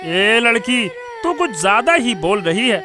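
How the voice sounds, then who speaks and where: A man voicing a brisk, insistent village man in a cartoon story. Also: very high voice, big pitch swings, a crowd in the background, exaggerated voice. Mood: threatening. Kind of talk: Hindi cartoon moral story dialogue.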